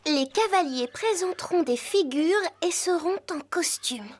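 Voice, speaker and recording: Young cartoon girl, breezy, excitable, extremely high voice, animated, faint music. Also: a little slow, a little hiss or hum. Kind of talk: French cartoon dub. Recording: music behind.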